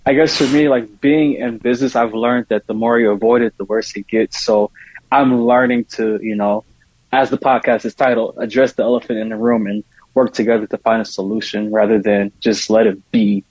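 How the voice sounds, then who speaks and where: A man's voice: fairly low pitch, steady tone, brisk, a little mellow, no room sound. A man answering as a guest in a conversational podcast interview. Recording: dull lo-fi sound, dry.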